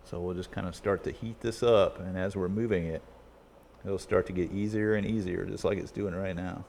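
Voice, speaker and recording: Male, middle-aged, deep register. Plain-spoken, casual tutorial host, workbench recording with light background noise. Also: fairly even intonation, normal speed, darkish tone. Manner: plain-spoken, step-by-step explaining. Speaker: repair video host. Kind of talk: casual hands-on repair tutorial. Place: indoor electronics repair workbench. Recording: clearly noisy workshop recording.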